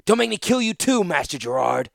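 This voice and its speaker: A man's voice: mid-range voice, very animated, fast, a bit bright, no echo, studio quality, voice pushed up. A man voicing a furious young male fighter who snarls threats. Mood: snarling.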